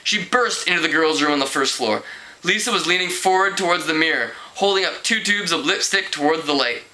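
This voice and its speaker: A man's voice: a little high, brisk, pushed and bright, poor audio. A man casually reading a teen novel aloud as an amateur, stumbling over words and repeating phrases. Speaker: amateur book reader.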